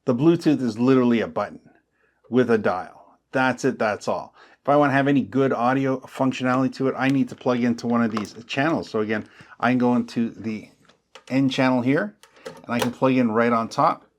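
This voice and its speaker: A man's voice: medium pitch, fairly quick. A man hosting a tech how-to video, explaining things casually in a chatty, plain-spoken way with folksy turns of phrase. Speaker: tech tutorial host.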